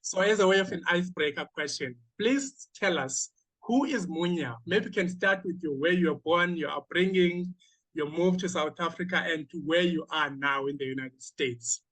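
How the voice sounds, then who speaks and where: A man hosting a podcast, asking his guest questions in a measured way. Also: on the high side, a bit bright, rough recording. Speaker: podcast host. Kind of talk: interview questions.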